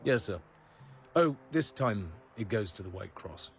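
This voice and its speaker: An actor playing a cool, wry British secret agent in a radio drama, clipped, dry and understated. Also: male voice, fairly low pitch, lively intonation, measured pace. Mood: clipped, dutiful. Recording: loud music behind.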